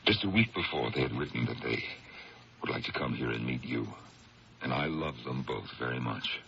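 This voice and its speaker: Very deep-voiced troubled husband. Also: male voice, animated, vocal fry, bright, forceful tone, exaggerated voice. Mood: reflective, pained. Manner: halting, hesitant, confessional. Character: troubled married lawyer, ashamed, burdened by a secret. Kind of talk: 1970s radio drama acting. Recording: dull, hissy old radio broadcast.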